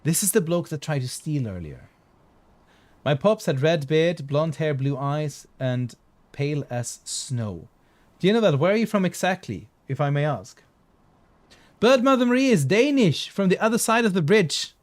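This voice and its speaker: A man's voice: a little high, big pitch swings, normal speed. A man from southern Sweden, streaming a game and chatting casually with viewers. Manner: chatty, restarts and fillers, reads chat aloud.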